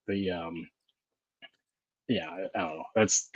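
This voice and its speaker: A man's voice: low-pitched, fairly even intonation, slow pace, no room sound. A man hosting a podcast in casual conversation, rambling and reflective, with many fillers and restarts. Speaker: podcast host. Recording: dull, dry lo-fi sound.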